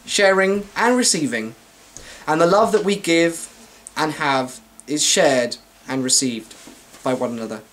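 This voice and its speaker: A man's voice: fairly high pitch, a bit bright, slight background noise, rough recording. A British man delivering a wordy, wry scripted comic monologue as a vlogger to his viewers.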